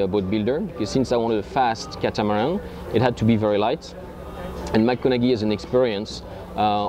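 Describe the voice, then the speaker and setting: A man with a French accent, answering interview questions hesitantly with many ums and uhs, outdoors at a marina. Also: fairly low pitch. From France. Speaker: boat owner.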